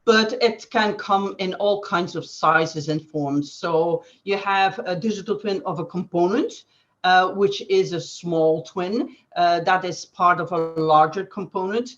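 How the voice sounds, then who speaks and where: A woman from Belgium with a Flemish accent, explaining things as an interview guest in halting phrases with frequent pauses. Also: lowish voice. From Belgium, Flanders. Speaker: guest digital twin consultant. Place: online webcast. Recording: dull, band-limited web call audio.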